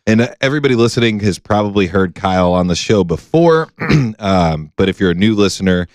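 Deep-voiced loud podcast host. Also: male voice, animated, brisk, no echo. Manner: loud, stammers on repeated words. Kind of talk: casual podcast chat.

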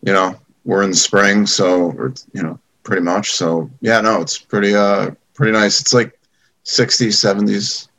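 A man's voice: fairly low pitch, normal speed, rough recording. A middle-aged man from Long Island talking casually as a guest on a video call, easygoing and full of fillers. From Long Island, New York. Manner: relaxed, hedging with many fillers. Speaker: guest, event company founder.

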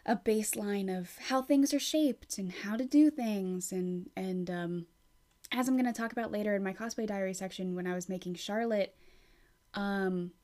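A woman hosts her own podcast in loose, casual chat. She rambles, circles back and restarts her thoughts. She is frank and talkative, swears now and then, and her voice sits at a middling pitch. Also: moderate pace, warm tone. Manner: rambling, with many fillers and restarts. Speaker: podcast host.

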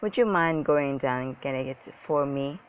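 A kindly woman schoolteacher as a storybook reader acts her out speaks sweetly and patiently, encouraging her class. The pitch is moderate and the sound is slightly lo-fi. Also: normal speed, slight background noise, lightly acted.

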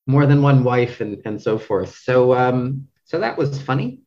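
Halting man in casual book talk, slightly muffled. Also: medium pitch, animated, darkish tone. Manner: halting, hesitant, rephrasing as he goes. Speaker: interview guest, literary translator.

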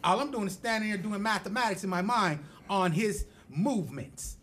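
A man's voice: high-pitched, lively intonation, normal speed, a bit bright. A middle-aged man from the United States who tells stories with energy as a podcast guest and acts out the voices of the people in them. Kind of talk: animated storytelling.

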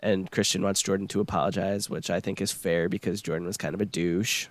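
A young man chatting on a podcast while sick, sounding deeper than usual and filling his talk with "like" and "um". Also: steady tone, brisk, no echo. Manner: chatty, lots of "like" and "um". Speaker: podcast host. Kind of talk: casual chat recapping a reality show.

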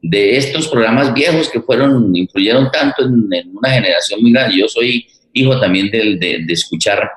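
Echoey, low-voiced man. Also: lively intonation, a bit bright. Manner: halting, repeats words as he searches for phrases. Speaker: theatre director and forum moderator. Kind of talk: reflective spoken forum talk. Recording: roomy, echoing sound.

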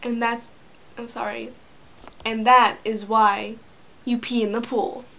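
A woman's voice: on the high side, flat delivery, slow, vocal fry, pushed and bright. A teenage girl co-hosting a podcast in casual chat, playful and teasing, with frequent giggles. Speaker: podcast co-host.

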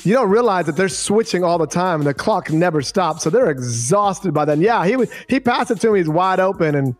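A man's voice: sits high, expressive, brisk, soft background music. A man co-hosting a podcast, telling stories casually in a good-humored, self-deprecating way. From Arlington, United States. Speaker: podcast co-host. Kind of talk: casual chat, animated storytelling.

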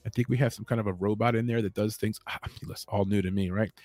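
A man hosting a late-night MMA show, talking in a calm, rambling, laid-back way. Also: lowish voice, fast talker, no echo. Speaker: MMA podcast host. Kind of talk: late-night solo show, casual chat.

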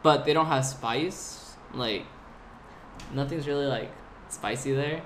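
A man's voice: mid-range voice, slow pace. A young man from California, a podcast guest telling travel stories casually, with lots of "like" and laughter. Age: young adult. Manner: animated, laughing storyteller with lots of "like". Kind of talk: casual storytelling. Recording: faint background noise.

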